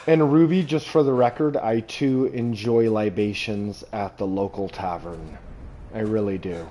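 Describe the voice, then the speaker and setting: A man from Southern California, chatting calmly and reading chat aloud while streaming a video game. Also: on the low side, a little slow, a little hiss or hum. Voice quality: calm. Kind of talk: casual gameplay commentary.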